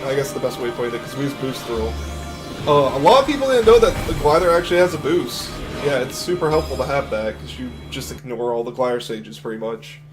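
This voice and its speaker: A deep-voiced man talks through his own speedrun in a loose, chatty way, explaining tricks as he goes and often restarting sentences mid-thought. His pitch sits in a middle range for a man. Game music plays clearly behind him. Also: fast. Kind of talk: live speedrun commentary.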